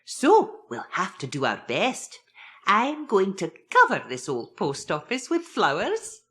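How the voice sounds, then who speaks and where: An actress playing an excitable, kindly village postmistress, chatty and coaxing, in a children's audiobook. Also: female voice, medium pitch, slow pace, full character voice. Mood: keen.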